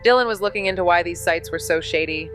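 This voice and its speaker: Female noir detective, woman actor: slightly low, determined, questioning, clipped lines over a music bed. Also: fast, a bit bright, lightly acted. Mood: determined, intent. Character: determined, probing woman investigator. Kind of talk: noir audio drama acting.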